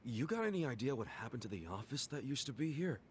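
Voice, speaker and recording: A man's voice: medium pitch, sing-song, fast, a little hiss or hum, close to an everyday voice. A man voicing a brash, earnest ex-convict yakuza in an English video game dub, speaking bluntly and informally. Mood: insistent, flustered.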